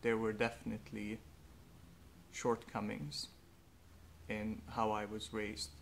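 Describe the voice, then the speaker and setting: A young man, a native Hungarian speaker, speaking slowly and earnestly in a reflective personal monologue with faint background noise. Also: fairly low pitch, monotone, creaky. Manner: slow, thoughtful, earnest. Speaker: vlogger.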